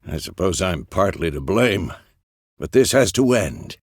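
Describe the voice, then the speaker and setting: A man playing a gruff, seasoned old monster-hunter mentor in a video game, with gravelly, measured, instructive delivery. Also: low voice, big pitch swings, no room sound, clean studio sound, heavily acted. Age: older. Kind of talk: video game character dialogue.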